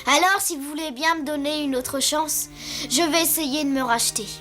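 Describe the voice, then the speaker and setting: A woman plays a young cartoon elephant boy with a very high voice. He speaks earnestly and politely, eager to please, with music clearly playing behind him. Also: moderate pace, lightly acted. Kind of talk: dubbed cartoon acting.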